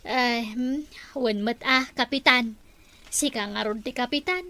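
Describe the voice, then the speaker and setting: A woman's voice: on the high side, a little slow, pushed and bright. A woman with an Ilocano accent playing a deferential village councillor in a comedy drama.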